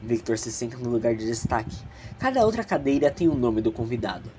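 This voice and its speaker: Animated Brazilian man narrating a story. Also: medium pitch, brisk, noisy background. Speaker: narrator and author of an audio drama. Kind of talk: dramatic storytelling narration with casual asides.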